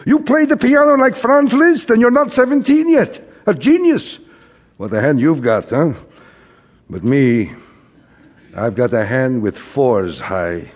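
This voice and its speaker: A man's voice: fairly high pitch, big pitch swings, a little slow. An actor playing a wistful, middle-aged immigrant father who philosophizes and repeats himself in an audio drama. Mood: wistful, lamenting. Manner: rhetorical, repetitive, philosophizing. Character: wistful, rambling immigrant father, a frustrated commercial traveler. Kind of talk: theatrical audio drama acting. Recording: reverberant hall stage recording.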